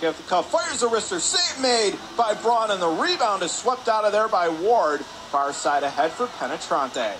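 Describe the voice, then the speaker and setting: A man with a slightly high voice calls a hockey game live for radio. His delivery is brisk and clipped, following the play moment by moment. Loud rink noise runs behind him. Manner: brisk clipped present-tense calls, rising on shots. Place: indoor ice rink. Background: loud arena ambience noise.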